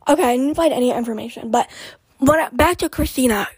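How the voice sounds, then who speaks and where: A young woman hosting a podcast with a raspy voice, chatting in a scattered, rambling way and often dropping into whispered asides. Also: high-pitched, fast, darkish tone, dry recording. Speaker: podcast host. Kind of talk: casual rambling chat.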